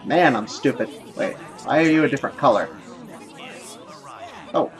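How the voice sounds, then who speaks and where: A man's voice: medium pitch, animated, normal speed, some chatter behind. A man hosting a casual let's play, thinking aloud in a rambling, self-deprecating way. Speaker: gaming video host. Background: game music and sounds.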